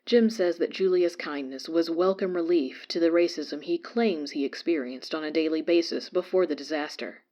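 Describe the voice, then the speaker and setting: A woman playing a radio newscaster who reads a disaster report in a measured, formal way. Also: low-pitched, warm tone, muffled recording, natural voice. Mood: sober, formal. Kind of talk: scripted news report in audio drama.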